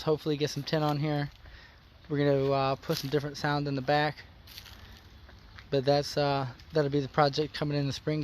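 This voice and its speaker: A man hosting a car vlog outdoors, explaining his install in a plain-spoken, folksy way, his voice a bit hoarse. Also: a little high, slight background noise. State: slightly hoarse. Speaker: car customizing channel host. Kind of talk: casual how-to vlog.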